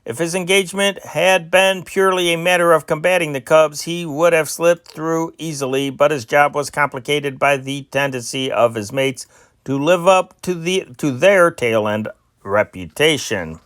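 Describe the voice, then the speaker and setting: A man's voice: a little high, moderate pace, fairly bright tone, clean tone, no echo, clean studio sound. A man reading old newspaper sports stories aloud as an amateur host, with a sing-song delivery that rises and falls sharply, and small slips and restarts. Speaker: newspaper reader, channel host.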